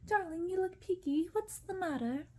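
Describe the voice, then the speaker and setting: A woman's voice: very high voice, animated, moderate pace, slight background noise, pitched above the performer's own voice. A woman voicing a sweet, lovestruck young princess in a storybook reading. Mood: loving.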